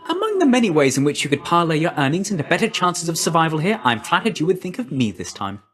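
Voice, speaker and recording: A man's voice: on the high side, huge pitch swings, quick pace. A man voicing a breezy, chummy young messenger god in a video game, with a slangy, glib, friendly manner.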